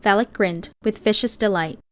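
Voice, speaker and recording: A woman narrating an audiobook in the first person, with measured, expressive storytelling. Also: medium pitch.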